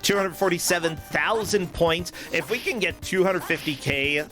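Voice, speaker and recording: A man's voice: on the high side, normal speed. A man giving enthusiastic, conversational live commentary on a gaming tournament. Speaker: esports commentator. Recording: game music behind the voice.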